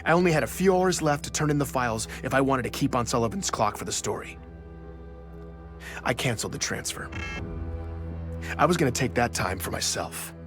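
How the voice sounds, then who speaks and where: A man's voice: medium pitch, expressive, fairly quick, pauses often, natural voice. A man playing a proud, wronged journalist, telling his story in a confiding, wry first-person audio drama narration. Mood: bitter, resolute. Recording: music under the voice.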